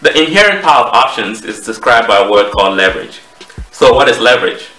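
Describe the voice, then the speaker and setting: A man in his thirties with a Cameroonian accent, giving a measured, explanatory presentation to camera as a trading educator. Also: medium pitch, sing-song, pushed and bright, soft background music. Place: small home office, indoors. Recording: roomy echo, distant microphone.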